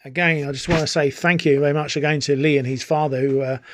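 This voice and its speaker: A middle-aged British man talks through a hobby demonstration in a slightly high voice. He is chatty and keen, informal in delivery. The sound is close and dry, with very little room. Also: fairly even intonation, quick pace, fairly bright tone, no room sound. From United Kingdom. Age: middle-aged or older, over 40. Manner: enthusiastic, chatty. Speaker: radio hobbyist presenter. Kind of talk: casual product review and demonstration.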